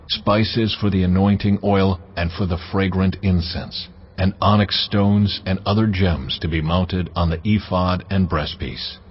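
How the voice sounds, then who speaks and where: A man voicing God in a dramatized scripture reading, speaking in a measured, commanding way. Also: low voice. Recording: dull lo-fi audio, faint music.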